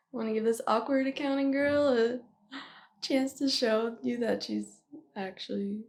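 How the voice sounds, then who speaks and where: A woman playing a flustered young office worker in an intimate ASMR roleplay. Her voice is slightly high with plenty of creak, and she speaks slowly and haltingly, nervous, giggly and self-deprecating as she rambles toward a confession. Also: steady tone. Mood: hopeful, bashful.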